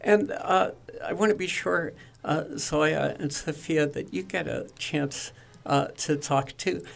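Gravelly, slow-talking male interviewer. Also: on the high side. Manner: halting, many fillers and restarts. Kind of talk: radio interview.

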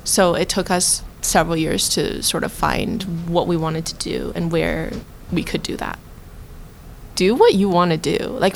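A woman's voice: on the low side, slight background noise. A young woman in her twenties, a college student, speaking reflectively and using "like" and "sort of" often. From China. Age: 20s. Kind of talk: reflective personal talk.

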